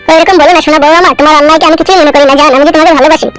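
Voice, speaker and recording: A woman voicing an excitable, bickering villager in a comedy cartoon, whiny, with a pitched-up, sped-up voice. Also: racing, faint music behind. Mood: pleading. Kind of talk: exaggerated cartoon comedy dialogue.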